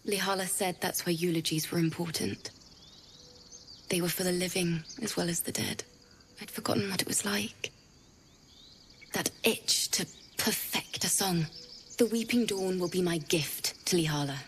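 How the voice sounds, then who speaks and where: A woman playing a gentle, grieving young bard in a fantasy game, speaking softly and wistfully. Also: on the low side, moderate pace, a little hiss or hum, natural voice. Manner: soft, wistful.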